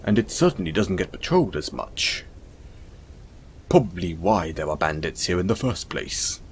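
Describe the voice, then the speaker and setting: A man playing a gentle, caring, self-conscious centaur in an intimate audio roleplay, speaking in a soothing, hesitant, apologetic way. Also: sits low, very animated, normal speed, slight background noise. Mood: caring, soft-spoken.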